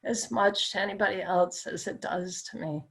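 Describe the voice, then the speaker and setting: A woman's voice: mid-range voice, normal speed, fairly bright tone. A woman with a crackly voice, a client repeating phrases back during a tapping session. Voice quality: crackly, raspy voice.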